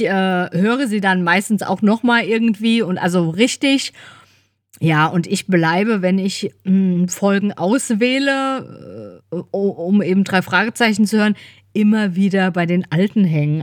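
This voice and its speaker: A woman's voice: mid-range voice, no echo, studio quality. A woman chatting casually as a podcast co-host, rambling and correcting herself as she thinks aloud. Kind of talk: casual chat.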